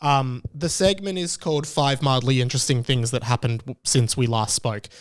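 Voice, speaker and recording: A man's voice: mid-range voice, steady tone, moderate pace. A man hosting his own podcast, talking casually in a rambling, candid way and often stopping to restart his sentences. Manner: rambling, self-interrupting, candid. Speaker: podcast host. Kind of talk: casual solo podcast chat.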